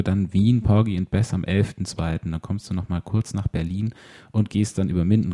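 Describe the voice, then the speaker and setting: Male interviewer, low-pitched and very dark-toned, conversational, slightly searching delivery.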